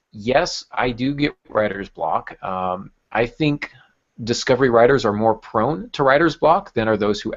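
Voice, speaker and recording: A man hosting a podcast, chatting casually and explaining things in a conversational way. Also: on the low side. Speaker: podcast host. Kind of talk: casual podcast chat about writing. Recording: dull, lo-fi podcast audio.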